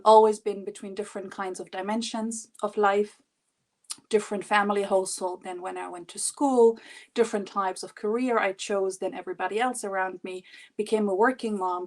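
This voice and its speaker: A woman's voice: medium pitch, fairly even intonation, moderate pace. A woman in her forties from Germany, introducing herself on an online panel in an earnest, thoughtful and expansive way. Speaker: panelist, HR executive.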